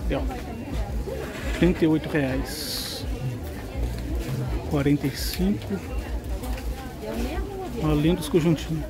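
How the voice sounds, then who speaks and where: A man's voice: on the high side, steady tone, slow, pauses often, music in the background. A man with a Brazilian accent giving casual walk-through commentary as a vlogger. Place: outdoor covered street market. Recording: busy street crowd behind the voice.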